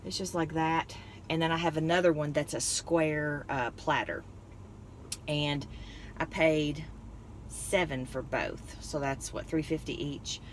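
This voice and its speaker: A woman's voice: low-pitched, unhurried. A woman, chatting casually and rambling about her finds from inside a car. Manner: chatty, rambling, laughs often. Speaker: vlogger. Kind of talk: casual haul chat. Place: parked car interior, then driving. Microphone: phone on dashboard. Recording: noisy car interior.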